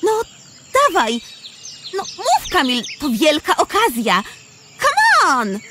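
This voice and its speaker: Teasing, encouraging schoolgirl for kids' audio play, female actor, high and very animated. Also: takes it slowly, heavy background noise, exaggerated voice. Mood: excited, urging. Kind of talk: children's audio drama acting.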